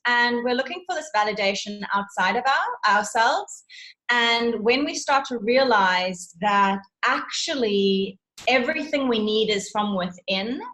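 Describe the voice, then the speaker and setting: A South African woman speaks as a guest coach in a fairly high voice. Her delivery is conversational and earnest, stressing key points as she explains. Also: animated, measured pace, pushed and bright, low-quality recording. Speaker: interview guest, self-love and confidence coach.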